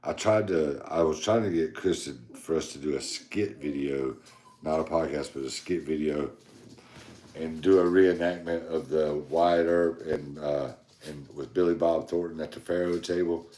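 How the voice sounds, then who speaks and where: A man hosting a podcast, joking around in casual chat with a very deep voice. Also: moderate pace, mellow, reverberant. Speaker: podcast host.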